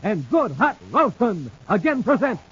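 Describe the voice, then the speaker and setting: High-pitched, animated 1950s radio announcer man. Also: unhurried, noisy background. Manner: excited, emphatic, punchy. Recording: dull 1950s radio broadcast recording.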